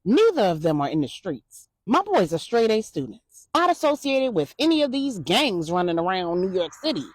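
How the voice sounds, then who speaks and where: A woman's voice: medium pitch, sing-song, moderate pace, dry recording. A woman voicing an indignant, protective mother of teenage sons, firm, emphatic and defiant, in a story.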